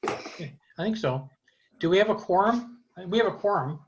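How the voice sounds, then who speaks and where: A man's voice: high-pitched, expressive, normal speed, a little hiss or hum. American man chairing, muffled meeting audio. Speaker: committee chair running a meeting. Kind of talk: informal meeting procedure. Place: remote video meeting.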